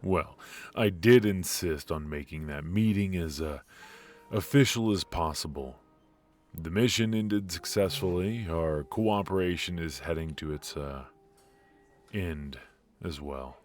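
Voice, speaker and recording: A man's voice: deep voice, measured pace, faint music behind. An actor playing a charismatic, injured former mafia man in an ASMR roleplay, teasing and flirtatious while he admits to being stiff and worn out. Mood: confiding.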